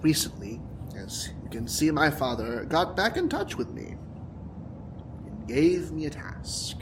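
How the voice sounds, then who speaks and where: A man's voice: fairly high pitch, very animated, unhurried. A man playing a bitter, theatrical young villain. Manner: taunting, dramatic, profane, breaking into whispers and laughter. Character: bitter, wounded, theatrical young male supervillain with mind-control powers. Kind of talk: audio drama acting. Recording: clear background noise and ambience.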